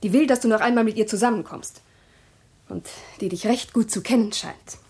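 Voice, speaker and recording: A woman's voice: fairly high pitch, a little fast, darkish tone, close to an everyday voice. An actress playing a wife who has found out her husband's secret, speaking coolly, with pointed irony. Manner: cool, ironic, pointed. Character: suspicious wife, cool and cutting. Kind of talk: radio play acting.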